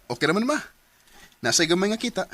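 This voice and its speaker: An actor playing a cheerful teenage son who drives a motorbike taxi, talking in a lively, affectionate way and laughing easily in a radio drama. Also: male voice, sits high, fast. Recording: noisy background.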